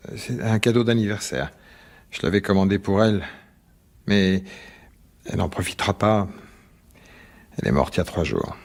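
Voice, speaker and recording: An actor playing a harried husband and anxious office employee in a French radio drama, speaking hesitantly and defensively. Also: male voice, low-pitched, animated, moderate pace, gravelly, long pauses, darkish tone, heavily acted. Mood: subdued, uneasy.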